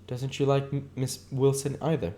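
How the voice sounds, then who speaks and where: Man voicing gossipy young woman, raised pitch. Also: flat delivery, normal speed, mellow, lightly acted. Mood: confiding. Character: gossipy, opinionated young woman, eager and confiding. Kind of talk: audiobook character dialogue.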